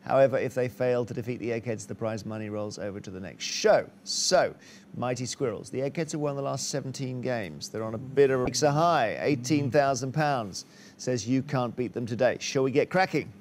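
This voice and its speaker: A man's voice: medium pitch, normal speed, darkish tone, slight background noise. A British man hosting a TV quiz, moving between scripted links and banter with contestants. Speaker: quiz show host.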